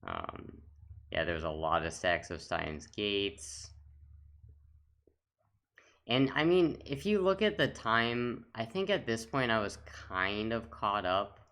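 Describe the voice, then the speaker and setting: A man's voice: low-pitched, fairly bright tone. A man giving casual, off-the-cuff commentary as a gaming video host, talking in a rambling, reminiscing way.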